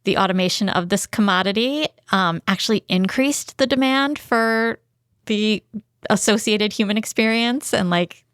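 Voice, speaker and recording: A woman's voice: medium pitch, moderate pace, a bit bright, clean tone, dry recording, studio quality. A woman co-hosting a podcast, explaining ideas conversationally with concrete examples. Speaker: podcast co-host.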